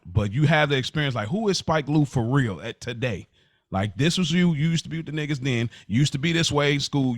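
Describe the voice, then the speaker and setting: A man's voice: medium pitch, no echo, clean studio sound. A man co-hosting a podcast, talking candidly and reflectively in a casual, slangy way. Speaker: podcast co-host.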